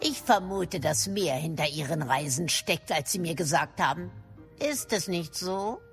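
An actress playing a shrewd middle-aged female professor in a German audio drama, speaking formally and crisply with a mildly probing manner. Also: lowish voice, animated, measured pace, faint music behind. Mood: probing, dry.

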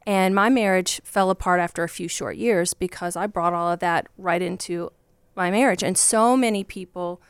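A woman's voice: fairly low pitch, normal speed, smooth, no room sound. Earnest Virginia-accented woman divorce coach. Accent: Virginia Southern. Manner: earnest, passionate, speaks in long run-on explanations. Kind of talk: podcast guest discussion.

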